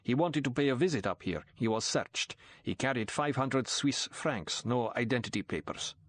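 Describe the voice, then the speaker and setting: A man voicing a stiff male guard giving a rapid, clipped report to his master. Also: medium pitch, expressive, fairly bright tone, no echo. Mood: dutiful.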